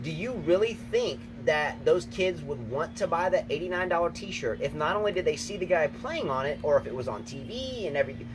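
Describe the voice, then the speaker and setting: A man co-hosting a casual tennis chat outdoors, emphatic and repetitive, talking excitedly. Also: fairly high pitch, fairly quick, few pauses. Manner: emphatic, repeats himself, excitable. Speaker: tennis channel co-host, coach. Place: outdoors, windy. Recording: noisy outdoor recording, wind.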